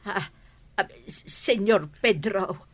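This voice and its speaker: A woman's voice: mid-range voice, takes it slowly. A woman acting a flustered, wheedling village mother who pleads and exclaims, in a radio drama. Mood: flustered, pleading.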